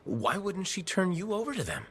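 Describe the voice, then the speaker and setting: A man's voice: sits high, wildly swooping pitch, normal speed, fairly bright tone, heavily acted. A man playing an easygoing, wry freelancer pilot in a sci-fi video game. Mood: friendly, wry. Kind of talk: video game dialogue acting.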